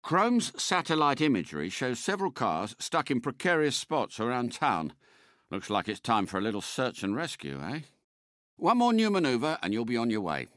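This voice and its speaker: An actor playing a suave, courteous British spy car in a video game, a polite and unflappable mentor with refined, precise phrasing. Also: male voice, mid-range voice, huge pitch swings, normal speed, fairly bright tone, no echo, studio quality. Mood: encouraging. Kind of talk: scripted game character voice lines.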